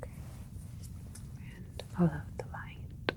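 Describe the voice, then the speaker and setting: A woman playing a calm, clinical alien scientist in a sci-fi ASMR roleplay, speaking in a soft, breathy whisper with a gentle, methodical manner. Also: slow pace, lightly acted. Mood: attentive, clinical. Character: calm, clinical alien scientist doctor examining their creation.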